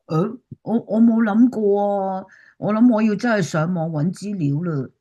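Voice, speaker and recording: A woman's voice: mid-range voice, normal speed, mellow, close to an everyday voice. A woman playing a chirpy, curious schoolchild in a Cantonese radio drama. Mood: earnest, curious. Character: eager curious schoolchild visiting grandparents.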